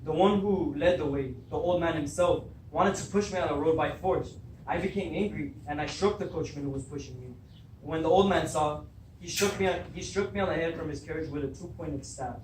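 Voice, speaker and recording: A man's voice: fairly high pitch, a little fast, a little mellow, natural voice. A young man acting a troubled, hot-tempered king in a readers theatre Greek tragedy, full of fear and guilt. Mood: grave, recounting. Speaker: student actor. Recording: distant echoey room recording with background noise.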